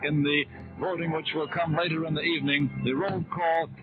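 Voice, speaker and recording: A man's voice: on the high side, steady tone, a little fast, over music. A man with an American accent giving formal, polished live commentary as a 1930s radio announcer. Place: radio studio at convention hall. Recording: muffled 1930s radio broadcast recording.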